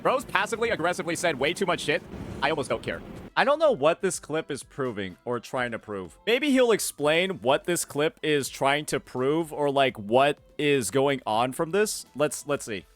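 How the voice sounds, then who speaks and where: A man's voice: a little high, sing-song, moderate pace. A man streaming angry reaction commentary, heated, repeating himself and swearing. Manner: heated, repetitive, profane.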